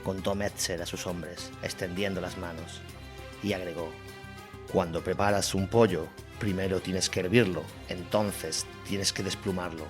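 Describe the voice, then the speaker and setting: A man's voice: low-pitched, steady tone, measured pace. A man narrating a scripted war story in a dramatic documentary style. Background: music.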